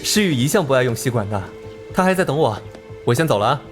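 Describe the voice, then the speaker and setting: A man playing an easygoing, considerate young male student in an animated drama, speaking in a casual, soothing way. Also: mid-range voice, fast, over music, close to an everyday voice. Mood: reassuring.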